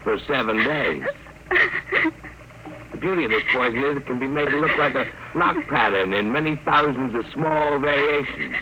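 An actor playing a cold, bullying kidnapper, curt and commanding, in old-time radio drama style. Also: male voice, medium pitch, sharp tone. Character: cold, bullying masked gangster kidnapper, menacing and smug. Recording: slightly noisy old radio broadcast.

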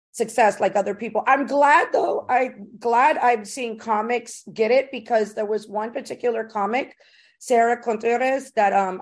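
Chatty lady podcast host, kinda high voice, super informal, slangy interview talk. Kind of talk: casual conversational interview.

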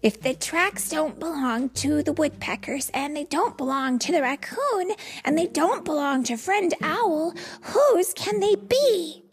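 Woman as bold little boy rabbit, high-pitched, eager, friendly, exclaiming, children's storybook. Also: very animated, a little slow, gravelly, a bit bright, heavily acted. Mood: puzzled.

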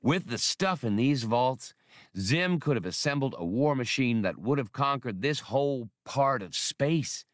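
A man's voice: medium pitch, lively intonation, a little slow, no echo, clean studio sound, pitched above the performer's own voice, close to an everyday voice. A man voicing a jovial seasoned adventurer with a hearty, emphatic, colloquial manner in an audiobook. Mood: amused. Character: jovial seasoned male adventurer explaining old war technology.

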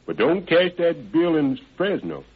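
Through a narrow, vintage radio sound comes a deferential young ex-con handyman. His husky voice swings up and down as he hesitates and rambles through folksy, slippery explanations. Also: on the high side, sing-song, measured pace, exaggerated voice. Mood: hesitant, deferential. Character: evasive young ex-convict odd-job man, folksy and deferential. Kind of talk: old-time radio crime drama acting. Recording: band-limited vintage radio recording.

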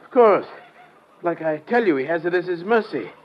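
An actor playing a wary, haughty, shifty castaway in old radio adventure, speaking in a formal, indignant way. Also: male voice, on the high side, lively intonation, moderate pace, close to an everyday voice. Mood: fearful. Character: wary, haughty man, a deceitful stranded islander. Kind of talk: 1940s-50s radio adventure acting. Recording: dull, hissy old radio recording.

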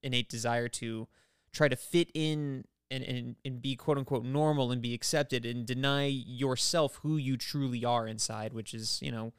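A man with a smooth, resonant voice and an average pitch talks as a podcast co-host. He is enthusiastic and casual, and the sound is dry and close. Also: steady tone, moderate pace, no room sound. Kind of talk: casual pop-culture discussion.

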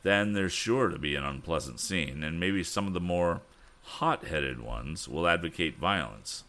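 A man voicing a grave, weary father and banker in a storybook, measured and resigned. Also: deep voice, animated, bright, forceful tone, heavily acted. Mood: grave, worried. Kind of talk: audiobook character voice.

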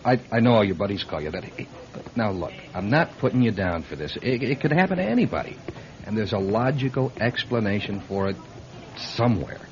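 A man playing an anxious, loyal airline co-pilot in an old-time radio drama, earnest and halting in his speech. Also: fairly low pitch, expressive, a crowd in the background. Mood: reassuring, earnest. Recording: muffled, faintly noisy radio drama recording.

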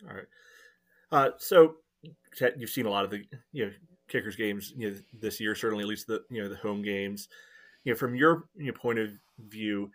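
A man's voice: fairly low pitch, a bit bright. A man hosting a soccer podcast, asking rambling questions full of fillers. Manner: rambling, many "you know" fillers. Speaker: podcast host. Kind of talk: casual interview questions.

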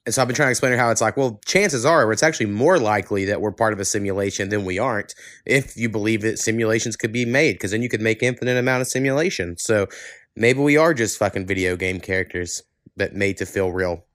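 A man's voice: lowish voice, fast talker, a bit bright, no room sound, studio quality. A man hosting a podcast in loose, informal chat, with a self-deprecating way of talking. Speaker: podcast host. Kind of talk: casual conversational podcast talk.

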